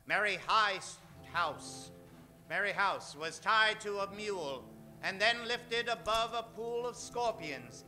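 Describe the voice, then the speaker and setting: Male old-time radio announcer, very sharp forceful voice, slow dramatic emphasis, faint music behind. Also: sits high. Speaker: host and announcer.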